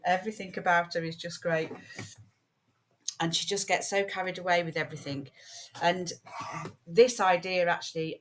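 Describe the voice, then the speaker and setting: A British woman hosting a casual crafting video, chatting in a rambling way with many ums, her voice croaky from a lingering cold and cough. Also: fairly low pitch, a little hiss or hum. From United Kingdom. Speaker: craft channel host.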